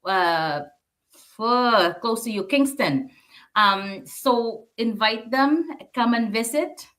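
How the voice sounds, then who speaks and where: Filipina radio host lady, bisaya native, chatty, slow pace, really bright voice, filipino accent. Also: a little high, expressive. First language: Bisaya (Waray). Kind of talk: casual live talk show hosting with Tagalog mixed in.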